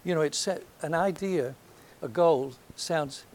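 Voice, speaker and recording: An older man from North Alabama with a soft voice, giving a reflective lecture to an audience, hesitant and full of ums, with gentle self-deprecating humor. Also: on the high side, measured pace. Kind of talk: last lecture, reminiscence. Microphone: handheld or podium microphone.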